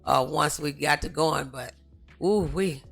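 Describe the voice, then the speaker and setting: A woman's voice: low-pitched, a little slow, vocal fry, breathy edge, faint music behind. A woman hosting a casual interview in a folksy, rambling, familiar way. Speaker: talk show host.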